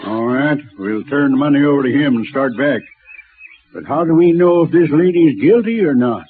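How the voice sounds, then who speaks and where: A man's voice: mid-range voice, lively intonation, normal speed, natural voice. Wary frontier man on thin old radio actor. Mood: wary, grudging. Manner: blunt, folksy, suspicious. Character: plain-spoken, wary, gruff western stagecoach man. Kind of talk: 1950s western radio drama acting. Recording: old radio broadcast, narrow band, noisy.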